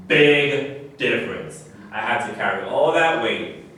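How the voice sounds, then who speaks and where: A man's voice: mid-range voice, animated, takes it slowly, sharp tone. Male actor as boastful ex-athlete, emphatic, proud, staged reading, heavy room echo, distant mic. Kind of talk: live staged reading of a play. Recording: very echoey distant room recording, faint noise.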